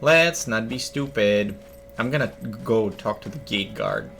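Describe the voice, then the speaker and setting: A man's voice: lowish voice, lively intonation, unhurried, gravelly, a bit bright. A young man casually commenting on a video game as he plays, thinking aloud and joking. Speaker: streamer. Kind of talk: gaming commentary. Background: faint game music.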